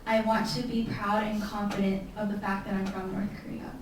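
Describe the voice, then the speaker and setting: A woman's voice: medium pitch, flat delivery, brisk, a little hiss or hum. A woman moderating and interpreting at a university Q&A. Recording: echoey hall from far off.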